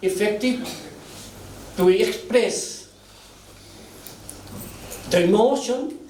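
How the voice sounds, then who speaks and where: Slow, moved man. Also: darkish tone, reverberant, recorded from a distance, a little hiss or hum, poor audio. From Nepal. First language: Nepal Bhasha. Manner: slow halting delivery in short phrases, deeply moved. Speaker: author giving thanks at a book launch. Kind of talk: emotional formal speech. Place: event hall.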